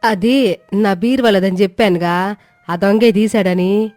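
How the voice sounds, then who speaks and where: Woman as scheming mother-in-law for a moral story, haughty, mid-pitched, dry close sound with music. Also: unhurried, darkish tone, no echo, close to an everyday voice. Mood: evasive. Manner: defiant, scornful, evasive.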